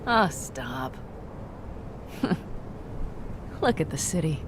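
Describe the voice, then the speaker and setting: An actress playing a proud, guarded nomad who speaks frankly and wistfully. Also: female voice, low-pitched, big pitch swings, drawn-out words, heavily acted. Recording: game audio with outdoor ambience. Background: ambient noise.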